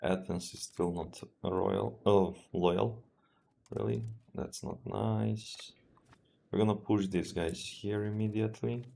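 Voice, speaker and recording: A man with a Serbian accent, a streamer thinking aloud as he plays a strategy game in a relaxed, halting way and answering chat. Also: on the low side, creaky, a little hiss or hum. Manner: relaxed, thinking aloud, often trails off and restarts. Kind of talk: live gameplay commentary.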